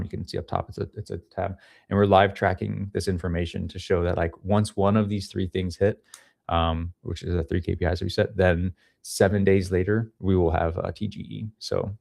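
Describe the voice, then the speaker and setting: A man's voice: low-pitched, vocal fry, a little mellow, no echo, clean studio sound. A man in his thirties talking casually as an interview guest, explaining things into a podcast microphone in a small room. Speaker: guest, head of ecosystem at a crypto project. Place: small home room with studio gear, indoors. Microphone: podcast microphone on a boom arm.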